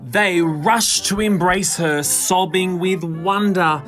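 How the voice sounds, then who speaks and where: A man reading a children's picture book in verse, with expressive, dramatic, rhythmic narration. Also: sits high, takes it slowly, music in the background.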